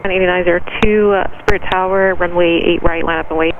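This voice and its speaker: A man working as an air traffic tower controller, giving clipped, procedural clearances and instructions over aviation radio. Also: sits high, fairly even intonation, quick pace, phone-quality sound. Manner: clipped, procedural, matter-of-fact. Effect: aviation radio transmission.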